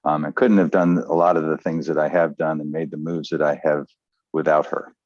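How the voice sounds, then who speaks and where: A middle-aged man with a very low voice reflects on his life as a podcast guest. The audio is dull and lo-fi. It is dry, with no room sound. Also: level tone, brisk, darkish tone, no echo. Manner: reflective storyteller. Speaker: educator and entrepreneur.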